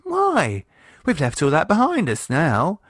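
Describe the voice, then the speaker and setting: A man voicing a sharp-tongued wife, talking in a blunt, quick, scolding way. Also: a little high, wildly swooping pitch, dry recording, studio quality, voice pushed up, full character voice.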